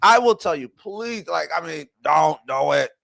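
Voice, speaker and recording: A man's voice: a little high, lively intonation, a little slow, gravelly, a bit bright, dry recording. Rambling man in his forties, dry close sound. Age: 40s. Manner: rambling, talks to chat, self-correcting. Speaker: livestream host. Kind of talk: casual livestream commentary.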